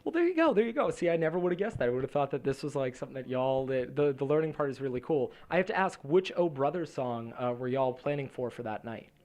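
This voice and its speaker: A man's voice: medium pitch, expressive, quick pace, hardly any pauses, a little mellow. A man hosting an interview, chatty, with many fillers and restarts. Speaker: host. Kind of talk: casual interview questions.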